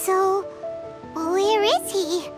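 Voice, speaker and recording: Squeaky, excitable little fairy companion. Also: female voice, extremely slow, exaggerated voice. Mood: curious. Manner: exclamatory, wide-eyed questioning. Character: small childlike floating fairy companion, excitable, curious, blunt. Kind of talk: animated video game voice acting. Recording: game music underneath. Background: game music.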